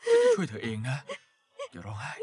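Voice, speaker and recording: A man's voice: medium pitch, huge pitch swings, a little fast, a little mellow, husky, exaggerated voice. An actor playing a concerned younger man in a dubbed TV melodrama, coaxing and reasoning with someone. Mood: soothing.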